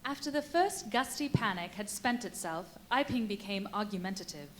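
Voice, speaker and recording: A woman narrating a live radio play as a composed, wry storyteller with measured, formal delivery. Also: medium pitch, animated, lightly acted. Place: live performance at a brewery venue. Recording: live stage recording, light noise.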